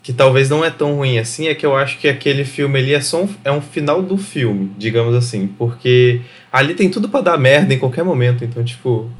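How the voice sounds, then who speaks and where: A man's voice: mid-range voice, brisk, few pauses. A Brazilian man talking casually about film on a podcast panel in an informal, sweary way.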